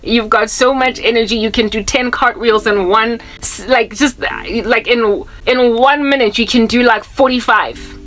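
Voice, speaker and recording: A woman's voice: on the high side, steady tone, moderate pace, a bit bright, low-quality recording. A South African woman in her thirties, a preacher talking loosely to camera, rambling and repeating herself as she mixes in Zulu words. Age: 30s. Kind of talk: casual spiritual talk to camera.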